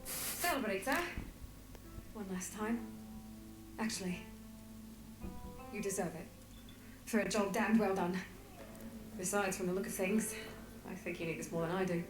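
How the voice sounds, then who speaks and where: Woman acting as sentimental loyal comrade, medium pitch, heartfelt, informal, roomy echo, music behind. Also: unhurried, pauses often, lightly acted. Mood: fond, wistful. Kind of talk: dramatic video game voice acting.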